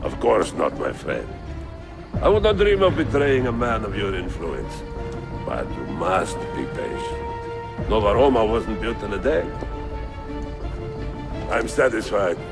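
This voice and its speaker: An actor playing a shrewd, haughty, cynical nobleman with a measured, smug manner in a dramatic game cutscene. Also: on the low side, huge pitch swings, gravelly, over music, heavily acted.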